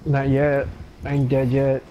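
A young man banters casually during an online game. His mid-range voice is slangy and joking. Game noise and clicking are clearly heard behind him. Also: mid-range voice, monotone, a little slow, highs cut off. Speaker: gamer playing an online shooter.

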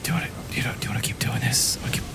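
A man's voice: very fast, sharp tone. A middle-aged man co-hosting a gaming show with casual, joking, sweary commentary, often in a mock ASMR style. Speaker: gaming show co-host and coach. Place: indoor streaming room.

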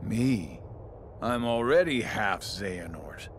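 A man's voice: lowish voice, big pitch swings, slow, slight background noise. A male actor playing a sly, flippant video game villain who taunts in a mocking, slangy drawl. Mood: smug.

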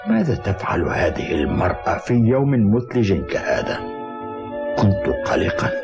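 A man's voice: fairly low pitch, sing-song, moderate pace, music in the background. An actor playing a caring, earnest old mountain grandfather in a dubbed cartoon, speaking formal Arabic with a paternal manner. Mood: solemn, reflective. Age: older.

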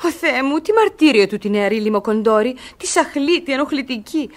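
A scornful young lady in a classic radio comedy. Her voice is fairly high and very animated, exclamatory and full of spirited disdain. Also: faint music behind. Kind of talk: theatrical radio comedy acting.

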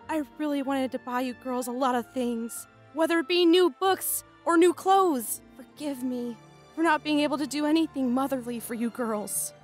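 A woman's voice: high-pitched, unhurried. A woman playing a tough, tender, self-sacrificing mother in an emotional, earnest manga dub. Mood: tearful tenderness. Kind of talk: dramatic manga voice-over acting. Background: music behind the voice.

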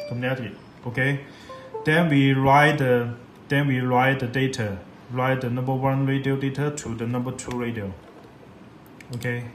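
A man giving a step-by-step software tutorial in halting, repetitive English. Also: medium pitch. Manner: halting, repetitive non-native English phrasing. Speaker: product demonstrator. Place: indoors at a laptop on a desk. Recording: echoey room with clear background noise.

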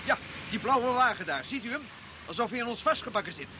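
An eager, obliging police driver from a radio play. The voice is high for a man and quick, with a deferential manner toward his boss. Heavy noise covers the old recording. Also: lively intonation. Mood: uneasy, polite. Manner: deferential, keen. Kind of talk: Dutch radio drama acting.

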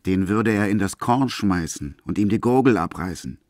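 A man's voice: lowish voice, moderate pace, darkish tone, no echo, clean studio sound, natural voice. A man acting as the narrator of a grim literary radio play, telling a madman's story in the third person. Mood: grim, indignant.

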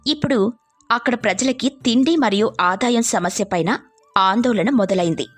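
A woman's voice: fairly high pitch, moderate pace, faint music behind. A woman reading scripted narration as the storyteller of a moral tale.

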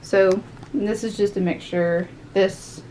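A woman's voice: mid-range voice, steady tone, slow, dark tone, a little hiss or hum, rough recording. A young woman with a Southern American accent talking casually as she tidies and shows off items in her small home office, thinking aloud as she works. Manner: chatty, upbeat, thinks aloud while tidying. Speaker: vlogger. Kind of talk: casual cleaning and haul vlog.

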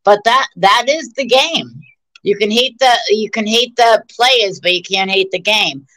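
A woman's voice: mid-range voice, measured pace, a bit bright, rough recording. A middle-aged woman chatting bluntly on a phone video call from her living room, swearing freely and restarting her sentences. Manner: blunt, profane, rambling with restarts. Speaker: guest caller. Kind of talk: casual video-call chat. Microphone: phone held close, video call.

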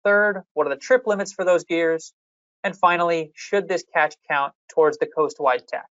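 A fishery management staff member giving a measured, methodical walkthrough of policy options in a public hearing slide presentation. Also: medium pitch, fairly even intonation, a bit bright, dry recording, muffled recording.

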